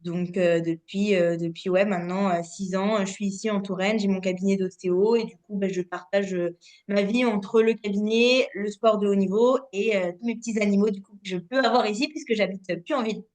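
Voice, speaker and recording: A woman in her late twenties from the Paris region, an osteopath telling her story as a podcast guest in a lively, chatty way with many fillers and restarts. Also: medium pitch, fairly quick. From Paris region, France. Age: 20s. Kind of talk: casual podcast interview.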